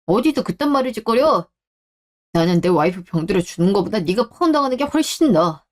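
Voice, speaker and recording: A woman's voice: mid-range voice, a little mellow, close to an everyday voice. A woman voicing a protective adult husband in a radio drama, with a firm, blunt and earnest delivery. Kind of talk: Korean radio-drama story acting.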